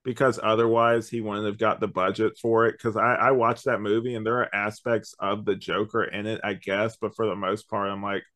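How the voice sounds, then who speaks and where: A man's voice: fairly low pitch, flat delivery, moderate pace, few pauses, a bit bright. A man co-hosting a podcast, giving rambling, slangy opinions in a casual review chat. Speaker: podcast co-host.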